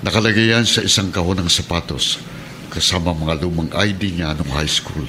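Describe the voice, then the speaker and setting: A man playing a kindly middle-aged Filipino policeman and adoptive father in a radio drama, measured and earnest. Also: low-pitched, slight background noise, natural voice. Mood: grave, earnest. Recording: dramatic music bed behind the voice.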